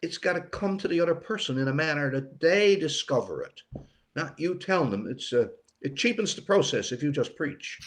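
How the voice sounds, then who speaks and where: A man's voice: a little high, lively intonation, moderate pace. An older man, an author talking plainly in short, emphatic phrases in an interview. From Newfoundland, Canada. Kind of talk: conversational interview.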